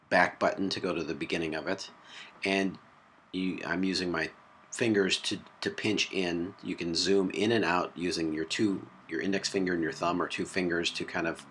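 A man presenting a software tutorial step by step in a halting way, recorded on a laptop microphone. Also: low voice. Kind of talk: hands-on software tutorial narration. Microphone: built-in laptop microphone. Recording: noisy laptop mic sound.

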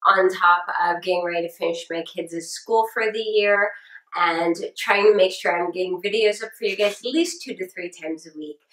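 Hoarse young female beauty vlogger, low-pitched and chatty, recorded in a roomy space. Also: a bit bright. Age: young adult. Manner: chatty and upbeat, repeats words for emphasis. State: sore throat, losing her voice. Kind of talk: casual product review to camera. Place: small room indoors with shelves. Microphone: camera at close framing.

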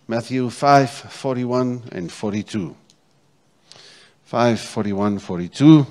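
Male South African preacher, fifties, lowish voice, repetitive emphatic phrasing, sermon delivery on a handheld mic. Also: darkish tone. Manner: repeats phrases, checks in with congregation. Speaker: pastor preaching. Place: church stage, indoors. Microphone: handheld microphone.

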